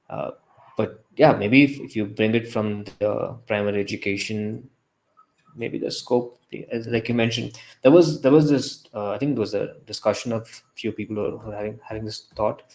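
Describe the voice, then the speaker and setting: Gravelly young Indian man chatting. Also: fairly low pitch, darkish tone. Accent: Indian English. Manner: halting, frequent restarts and fillers. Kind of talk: casual podcast discussion.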